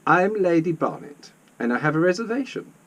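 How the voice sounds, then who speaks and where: A man's voice: high-pitched, big pitch swings, brisk, pitched above the performer's own voice. Man as a very posh, gracious older lady. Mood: stately. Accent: upper-class British. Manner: very posh, genteel.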